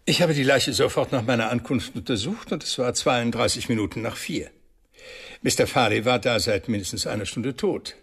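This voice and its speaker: An actor playing a clinical, matter-of-fact doctor giving testimony in a radio play. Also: male voice, medium pitch, normal speed, fairly bright tone, husky. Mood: clinical, brisk. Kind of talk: German radio play acting.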